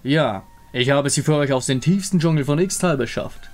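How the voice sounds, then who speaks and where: Mann spielt kriecherischen, untoten Verräter. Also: male voice, a little high, normal speed, soft background music, natural voice. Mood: servile. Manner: obsequious, formal. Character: sly servile undead wraith, treacherous schemer.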